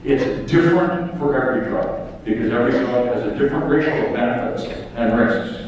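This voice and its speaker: A man's voice: mid-range voice, brisk, a little mellow. Older man rallying a distant hall. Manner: emphatic, rallying, rhetorical questions. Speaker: public health official giving a conference talk. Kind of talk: impassioned conference speech. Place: large lecture hall. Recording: echoey hall, distant mic, dull sound.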